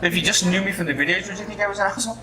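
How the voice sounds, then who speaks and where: A man's voice: high-pitched, rapid-fire. A man co-hosting a casual opinionated talk show, blunt and teasing, swearing freely. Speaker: co-host. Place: bakery, among other people. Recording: busy public room with chatter.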